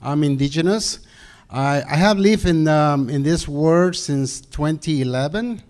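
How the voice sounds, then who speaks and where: Middle-aged guy, speaks slow and halting at a community meeting, slightly higher voice. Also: darkish tone. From Peru. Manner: hesitant, many fillers and restarts. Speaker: council candidate. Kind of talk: campaign speech at a public meeting. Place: community hall.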